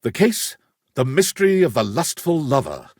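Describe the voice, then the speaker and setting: A man's voice: medium pitch, very animated, normal speed, darkish tone, slightly rough, no room sound, clean studio sound. A man reading second-person game narration in a wry, storybook manner. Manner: wry, storybook-style delivery.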